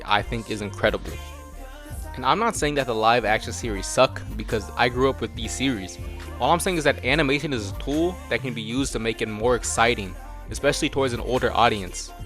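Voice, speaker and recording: A man's voice: mid-range voice, fairly bright tone. Casting: young American male video essayist, mid-range voice, loose slangy humor with some cursing, music bed behind. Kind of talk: casual scripted commentary.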